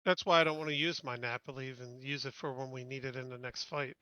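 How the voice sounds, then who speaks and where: A man's voice: medium pitch, fairly even intonation, brisk, dull audio, crowd noise. A man playing a tabletop game in casual talk, blunt, insistent and impatient.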